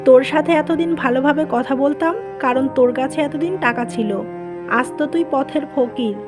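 A woman's voice: high-pitched, moderate pace, music in the background, natural voice. A woman voicing a greedy, cold-hearted, scheming mother of a grown son in a cartoon drama. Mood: cold, spiteful. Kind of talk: dubbed cartoon drama dialogue.

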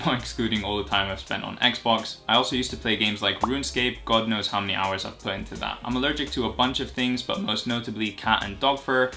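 A man's voice: lowish voice, bright, forceful tone. A young man in his twenties, a YouTuber and medical student raised in Greece, chatting casually and directly to camera from a sofa in his living room. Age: 20s. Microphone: camera at medium distance.

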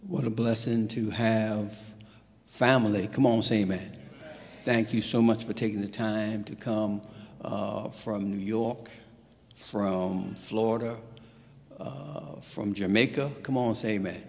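A man's voice: fairly low pitch, fairly even intonation, slow, vocal fry. A middle-aged male pastor welcoming his congregation from the pulpit, calling for amens. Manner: warm call-and-response greeting. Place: large church hall. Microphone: stand mic at pulpit. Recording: reverberant church hall.

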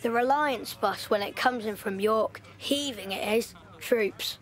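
A woman's voice: a little high, measured pace. An actress playing a thoughtful young girl evacuated from London, telling her story in a reflective way. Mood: recalling. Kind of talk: audio drama acting.

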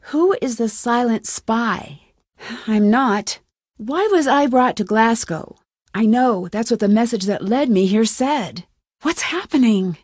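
An inquisitive young female sleuth in a video game. Her voice sits fairly high and she speaks earnestly and clearly, with determination. The recording is dry and close, like studio game dialogue. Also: a little mellow, no echo. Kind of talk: scripted game dialogue, isolated lines.

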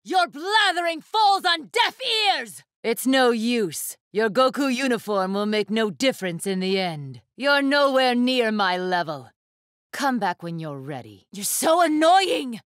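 A woman's voice: on the high side, big pitch swings, slow, a bit bright, clear, resonant tone, no room sound, studio quality, exaggerated voice. An actress voicing a proud, ruthless young female warrior for an anime fighting game, with a haughty, commanding, theatrical delivery. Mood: angry, scornful.

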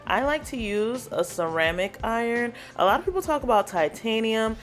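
A woman's voice: on the high side, expressive, normal speed, faint music behind. A woman hairstylist narrating her salon vlog in a casual, chatty way, walking viewers through her steps. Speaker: natural hairstylist.

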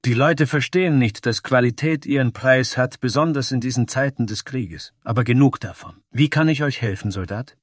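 A man acts out a sturdy dragonkin carpenter from a fantasy tale. He sounds proud and earnest, with deliberate delivery and a middling pitch, recorded very dry. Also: no room sound, lightly acted.

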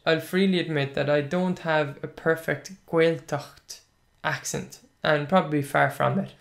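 A man's voice: on the high side, creaky. Chatty Irish man from County Clare. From Ireland. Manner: chatty, laughs often, relaxed and conversational. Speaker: podcast host. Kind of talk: casual solo podcast, reading out comments.

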